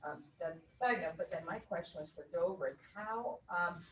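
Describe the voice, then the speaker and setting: A woman from higher education making a loud comment in a group discussion, with many fillers and restarts. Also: moderate pace. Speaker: meeting participant from higher education. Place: meeting room. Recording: echoey room, far from mic, faint hum.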